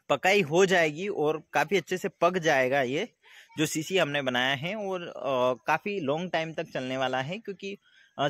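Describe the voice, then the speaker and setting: A man talks in a slightly high voice. He explains things casually as a farmer vlogging on his phone, pausing and repeating himself. The sound is dry, with no room echo. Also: fairly bright tone. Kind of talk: casual explanatory vlog walkthrough. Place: outdoors in an open-sided farm shed. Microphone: phone at arm's length.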